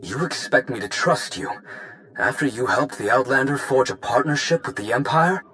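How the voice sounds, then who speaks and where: A man's voice: medium pitch, expressive, normal speed, pushed and bright, low-quality recording. A man playing a bitter, vengeful former guard turned rebel leader in a sci-fi game, grave and impassioned. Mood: distrustful. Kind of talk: dramatic sci-fi game acting.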